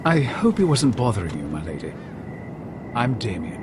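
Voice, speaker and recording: An actor playing a treacherous officer in a dramatic video game, courteous with veiled menace. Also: male voice, medium pitch, very animated, moderate pace, noisy background, close to an everyday voice. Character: smooth-talking, treacherous male officer turned assassin.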